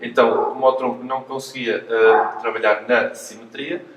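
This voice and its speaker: Flat-toned Portuguese man in an echoey room. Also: mid-range voice, normal speed. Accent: European Portuguese. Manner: hesitant, careful explanations with technical terms. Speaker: physiotherapist being interviewed. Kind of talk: explanatory interview answers. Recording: echoey room, distant mic, faint noise.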